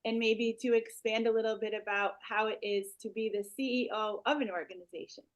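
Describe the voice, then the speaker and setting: A woman's voice: on the high side, steady tone, fairly quick. A woman hosting a career speaker series, politely reading out her interview questions.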